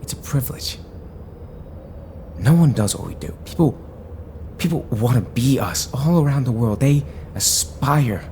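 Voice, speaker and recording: A man's voice: mid-range voice, expressive, measured pace, pauses often, a little mellow, slight background noise. A man playing a frustrated, idealistic younger hero in an audio drama, earnest and confrontational. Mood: hurt, frustrated.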